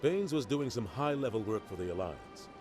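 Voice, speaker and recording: Veteran captain, played by a man, measured and guarded, music behind. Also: mid-range voice, expressive, close to an everyday voice. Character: seasoned male naval captain, guarded. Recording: game audio with music.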